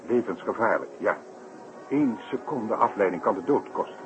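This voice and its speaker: A man's voice: fairly low pitch, expressive, normal speed, dull audio, background noise, lightly acted. An actor playing a grave, exasperated male circus director in a 1960s radio drama, speaking formally and with weighty emphasis.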